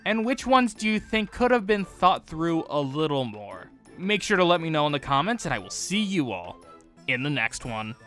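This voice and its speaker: A man presenting scripted video commentary as host, with an expressive, emphatic delivery. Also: fairly high pitch, moderate pace. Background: background music.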